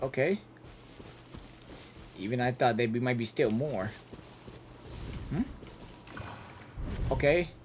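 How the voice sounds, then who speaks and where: A game reaction streamer giving casual commentary, halting and repeating words while thinking aloud. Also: medium pitch, slight background noise.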